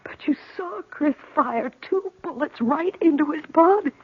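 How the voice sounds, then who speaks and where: A woman's voice: sits high, lively intonation, unhurried. An actress playing an anxious, shaken younger woman in an old-time radio drama, asking questions in a hesitant, breathless way. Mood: frightened. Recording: thin, phone-like old radio sound.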